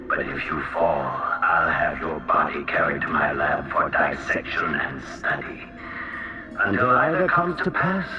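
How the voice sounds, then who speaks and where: An actor playing a haughty, eccentric genius inventor villain in a video game, theatrical, grandiose and condescending, coolly menacing. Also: male voice, low-pitched, highs cut off. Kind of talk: dramatic video game voice acting. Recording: game music over the voice.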